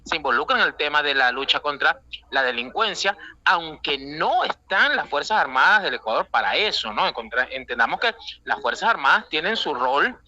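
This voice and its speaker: A man's voice: on the high side, very animated, moderate pace, pushed and bright, highs cut off. A man with a Venezuelan accent, an international analyst giving emphatic, rambling political commentary with many fillers, calling from an airport. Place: airport waiting area.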